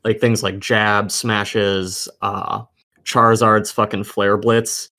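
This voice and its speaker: A man's voice: on the low side, flat delivery, slow pace, bright, forceful tone. A man co-hosting a casual gaming podcast, talking bluntly and cursing heavily.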